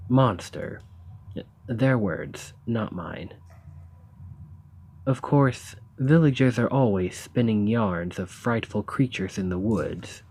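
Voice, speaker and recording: A man playing an eager, courteous professor of ancient civilizations in a scripted ASMR roleplay, speaking in a formal, bookish, slightly halting way with a husky voice. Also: fairly low pitch, a little mellow. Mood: curious, cautious. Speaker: audio roleplay performer.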